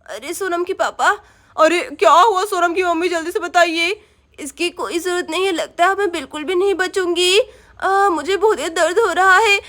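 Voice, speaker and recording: Woman doing a tearful pleading mother, very high voice, sobbing. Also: moderate pace, bright, forceful tone.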